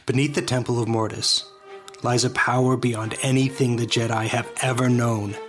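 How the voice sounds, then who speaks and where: A man plays an aged Jedi master's spirit, a grave and measured mentor. His pitch barely moves as he speaks in audio drama style. Music sits clearly behind the voice. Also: level tone, natural voice.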